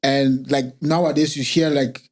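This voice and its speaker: A man's voice: mid-range voice, little pitch movement. A man from the English-speaking part of Cameroon, clarifying his point in casual chat full of fillers. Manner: quick clarifying, many fillers like "like".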